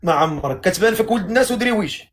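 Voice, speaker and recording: A man with a Moroccan accent hosting a matchmaking live stream, teasing guests with quick-fire questions. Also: high-pitched, animated, breathy edge.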